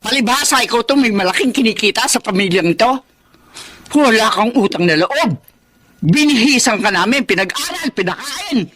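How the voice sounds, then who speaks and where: A woman's voice: on the high side, expressive, moderate pace, fairly bright tone. An actress playing a demanding middle-aged mother who nags and guilt-trips in a Filipino melodrama. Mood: angry, accusing. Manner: nagging, defensive, accusing.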